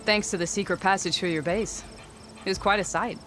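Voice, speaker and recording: A woman's voice: mid-range voice, steady tone, brisk, fairly bright tone, a little hiss or hum, natural voice. An actress playing a determined young woman hunter in a video game, speaking earnestly. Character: proud young woman hunter, worried for a friend.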